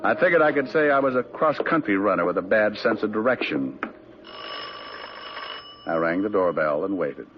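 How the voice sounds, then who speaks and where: A man's voice: lowish voice, animated, moderate pace, long pauses, slight background noise, close to an everyday voice. An actor playing a wry, wisecracking private detective who narrates his own case in an old radio play. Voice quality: dry, wry delivery. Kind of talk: 1940s radio acting. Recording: muffled old radio broadcast.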